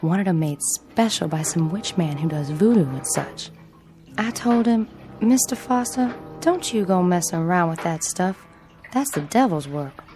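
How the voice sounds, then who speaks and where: Wary, folksy housemaid. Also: female voice, lowish voice, lively intonation, unhurried, warm tone, faint music behind. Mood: wary, worried. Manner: folksy, cautionary. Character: wary, superstitious household maid in New Orleans. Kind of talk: radio drama acting.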